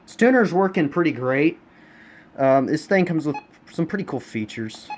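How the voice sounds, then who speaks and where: A man's voice: on the high side, expressive, moderate pace. A man from the United States giving a casual, unscripted review as a collector, often repeating himself for emphasis. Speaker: radio collector presenting a collection. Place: indoors in a home room. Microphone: handheld camera, speaker behind it. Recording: clear background room noise.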